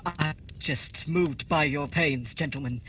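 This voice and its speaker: Radio play guest role: male actor as meek courteous gentleman, raised pitch, slight rumble. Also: slow pace. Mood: grateful, earnest. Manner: formal, courteous. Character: humble, grateful, courteous family man.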